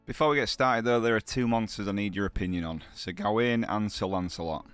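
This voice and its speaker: A man's voice: on the low side, brisk, fairly bright tone, clean tone, soft background music. A man with a heavy cold, talking through his gameplay in a chatty, upbeat way, full of slang. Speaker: gaming channel host. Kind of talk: gameplay commentary.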